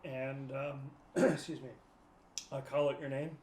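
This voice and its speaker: Low-voiced woman in an echoey room. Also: fairly even intonation, measured pace, darkish tone, a little hiss or hum. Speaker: player in a tabletop roleplaying game. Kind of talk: casual group chat.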